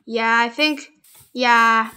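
Excitable high-voiced young gamer girl. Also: very slow, clear, resonant tone. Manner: excitable, insistent, drops to murmurs while playing. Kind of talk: casual let's play chat.

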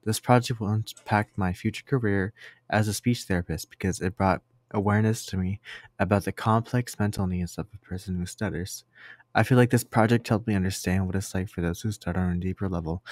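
Young man, low voice, reading script, dry close sound. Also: fairly even intonation, a little fast, darkish tone, dry recording. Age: young adult. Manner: reads a prepared script. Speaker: student presenter. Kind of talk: reading a class presentation.

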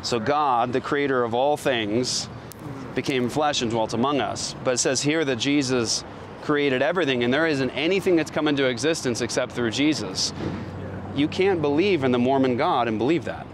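A man street preacher debating calmly and firmly outdoors, quoting scripture. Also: mid-range voice, normal speed, background noise. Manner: calm, firm, quotes scripture.

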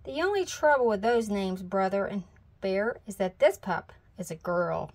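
A woman's voice: medium pitch, expressive, normal speed, darkish tone. Storybook farmer bear, male, read by a woman: folksy, kindly, generous.

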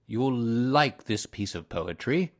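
A man's voice: medium pitch, animated, slow pace. A man voicing a bossy, scheming rabbit in a storybook reading, coaxing and managing the others.

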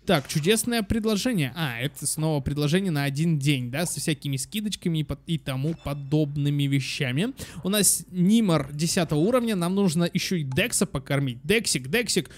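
A man with a high-pitched voice hosts a children's gaming video. He comments casually on the gameplay as he goes. His manner is excitable and affectionate, slipping into cutesy baby talk. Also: fairly quick.

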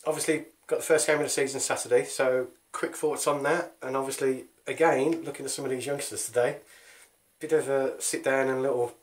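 A man's voice: mid-range voice, steady tone, brisk. A man asking questions informally as a football club's post-match interviewer. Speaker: club interviewer.

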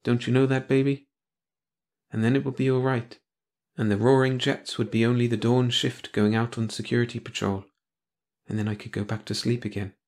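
A man voicing a frightened young wife who pleads softly for her husband. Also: mid-range voice, level tone, normal speed, close to an everyday voice. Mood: hushed. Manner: trembling, pleading, soft.

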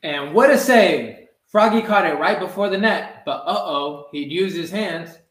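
A man in his twenties reading a children's story aloud, measured, calling out the characters' exclamations. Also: high voice, fairly bright tone. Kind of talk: animated read-aloud storytime. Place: indoor room with blinds, sitting. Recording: roomy echo.

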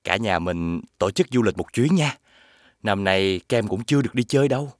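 A man voicing a tired, hardworking father with a gentle, apologetic way of talking. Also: fairly low pitch, animated, normal speed, dry recording, clean studio sound, lightly acted.

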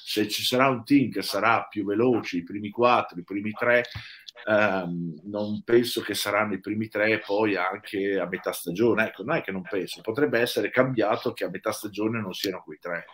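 Give me an older man with a deep, low voice, pausing and restarting as he explains on a video call. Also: fairly even intonation, a bit bright. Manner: thoughtful, hesitant, lots of fillers and restarts. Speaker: guest technical expert. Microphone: video call webcam.

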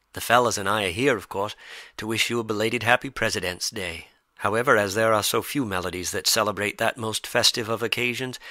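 A man's voice: lowish voice, bright, forceful tone, no room sound. A man playing a genial, wordy old-time radio bandleader host with folksy, mock-pompous, flowery announcing. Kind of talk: 1940s radio broadcast acting.

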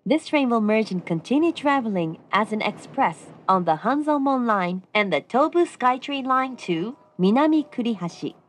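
A woman's voice: on the high side, expressive, takes it slowly, few pauses. A woman delivering scripted train announcements with formal, courteous phrasing.

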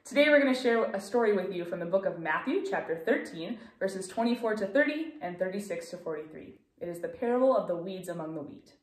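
A woman's voice: mid-range voice, animated, fairly quick, hardly any pauses. A middle-aged woman reading scripture and praying as the presenter of a children's message. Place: small room indoors. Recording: echoey room, distant mic.

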